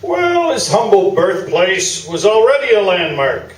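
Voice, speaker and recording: A man's voice: sits high, big pitch swings, a little slow. A man playing a pompous, self-satisfied newspaper sports columnist in a live staged radio play, talking in grandiloquent phrases. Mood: proud, grandiose. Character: pompous, boosterish male newspaper sports columnist, staunchly loyal. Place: small theatre stage, indoors. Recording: roomy, distant live theatre video, noisy.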